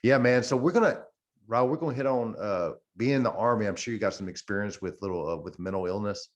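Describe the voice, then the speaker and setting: A man in his forties with a deep, low voice co-hosts a podcast. He speaks in loose, informal chat, sprinkling in dude and man like someone talking to buddies. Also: quick pace. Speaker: podcast co-host, active-duty service member. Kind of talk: casual chat.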